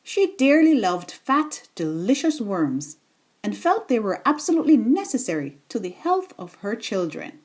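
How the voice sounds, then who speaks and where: A woman reading a children's bedtime story aloud in a deliberate, expressive storytelling manner. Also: a little high, breathy edge.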